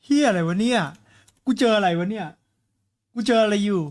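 Loud, swearing streamer man. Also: high voice, brisk, soft background music. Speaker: streamer reacting to clips. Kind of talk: reaction stream.

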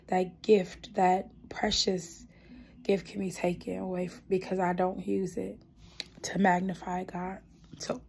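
A hoarse young woman speaking softly and earnestly about her faith, half whispering at times, filmed close on a phone in a small dim room. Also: medium pitch, slight background noise. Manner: soft-spoken, earnest, partly whispered. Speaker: gospel singer. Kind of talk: spoken testimony then a cappella gospel singing. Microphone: phone camera held close.

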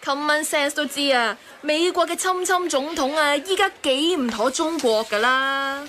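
A woman's voice: high voice, measured pace, bright, forceful tone, slight background noise. An actress in a radio drama playing an opinionated, know-it-all woman, emphatic, with a Hong Kong Cantonese accent. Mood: knowing, indignant.

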